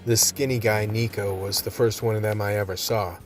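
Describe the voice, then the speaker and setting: An actor playing a world-weary undercover vice cop, telling his story in a measured, hard-boiled way for a radio play. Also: on the low side, steady tone, faint music behind, lightly acted. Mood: grim, measured. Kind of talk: scripted radio play narration.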